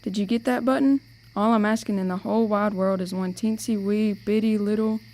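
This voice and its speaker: A woman's voice: mid-range voice, steady tone, a little fast, mellow, close to an everyday voice, rough recording. A young woman acting a playful, bossy and protective older sister in an amateur radio play. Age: teenager or young adult.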